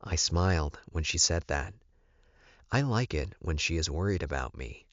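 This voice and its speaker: A man's voice: a bass voice, expressive, moderate pace, a bit bright, no echo, muffled recording. A man narrating a first-person story as a scripted audiobook.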